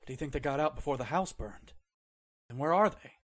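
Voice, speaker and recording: A man's voice: a little high, expressive, brisk, fairly bright tone. A man playing an anxious, inquisitive fellow in an old-time radio drama. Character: anxious, inquisitive man pressing for news, Benson.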